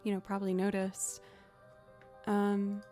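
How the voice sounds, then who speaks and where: A young woman with a low voice, chatting casually and rambling to her live stream audience. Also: monotone, unhurried, dark tone, soft background music. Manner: chatty, rambling, self-deprecating. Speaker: streamer and musician.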